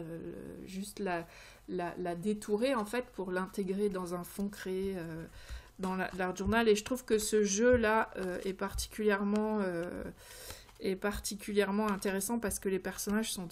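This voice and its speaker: A woman presents creative ideas in an informal, unscripted way. She speaks haltingly, often searching for the right word as she explains each step. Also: mid-range voice, darkish tone. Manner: hesitant, halting, searching for words. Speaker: creativity vlogger presenting tarot card ideas. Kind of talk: informal tutorial talk, unscripted.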